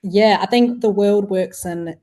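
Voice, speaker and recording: A woman's voice: mid-range voice, a little slow, warm tone. A woman in her twenties with a New Zealand accent, chatting casually as an interview guest. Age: 20s. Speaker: podcast guest, food entrepreneur.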